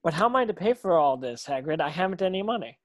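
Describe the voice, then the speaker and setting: A man's voice: on the high side, sing-song, fast talker, no echo. A man playing a curious, puzzled young boy wizard in a screenplay table read.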